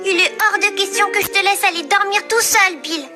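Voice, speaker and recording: A woman voicing a small, loyal, playful female pet turtle in an animated cartoon. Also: very high-pitched, fairly quick. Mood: concerned. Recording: loud music behind.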